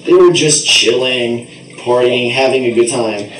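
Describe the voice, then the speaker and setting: A man's voice: medium pitch, measured pace, a bit bright. A man improvising a comic story as a puppet show narrator, with a playful, rambling, theatrical delivery. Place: bathtub in a bathroom. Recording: echoey bathroom, distant noisy mic, water sounds.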